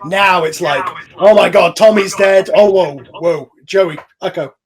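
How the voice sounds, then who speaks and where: A man with a fairly high voice hosts a casual group conversation. He talks informally, as the show's creator, over an online voice call. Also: a little slow, crowd noise. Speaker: host and show creator.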